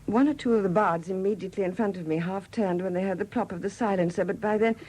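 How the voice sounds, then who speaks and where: An actress in a 1970s British radio drama playing a widowed murderess who confesses in a hesitant, confiding manner. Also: female voice, medium pitch, fast talker, dark tone, slightly rough, lightly acted, poor audio. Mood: tense recollection. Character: quiet confessing murderess, a Welsh sculptor's widow.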